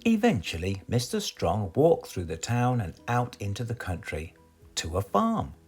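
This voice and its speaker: Very animated British man reading a children's story. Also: on the low side, measured pace, slightly rough, soft background music. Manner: dramatic, addresses young listeners with questions. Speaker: storybook reader.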